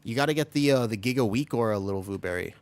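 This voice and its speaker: A man streaming a video game live, chatting with viewers and explaining tactics while he plays, his voice still raspy from being sick. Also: mid-range voice, fast, no room sound. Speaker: streamer playing a tank. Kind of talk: live gameplay commentary.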